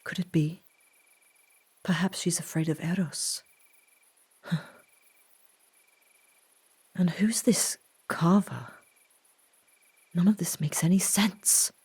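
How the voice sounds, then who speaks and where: A woman playing a calm, grave doctor in an audio drama, speaking in a measured, composed way with breathy asides and sighs. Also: on the low side. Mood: brooding, uneasy. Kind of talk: scripted audio drama acting. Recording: long hall-like reverb.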